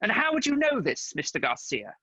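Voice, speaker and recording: Pompous, bright-voiced, husky detective, played by a man. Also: high voice, huge pitch swings, fairly quick, no echo, lo-fi sound, heavily acted. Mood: accusing. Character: self-important bumbling male private detective. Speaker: detective in a comedy radio serial. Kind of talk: comic radio acting with detective narration.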